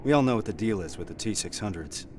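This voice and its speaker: An actor playing a stern, dutiful resistance squad sergeant with a commanding military manner in a video game. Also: male voice, lowish voice, animated, quick pace, noisy background, natural voice. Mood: grave, probing. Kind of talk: dramatic cutscene acting. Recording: game music behind the voice.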